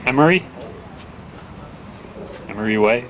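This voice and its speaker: A man's voice: medium pitch, animated, a little slow. A man organizing a public event outdoors, reading aloud and adding activist remarks. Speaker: event organizer calling up readers. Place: outdoor town plaza. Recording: outdoor recording with faint street noise.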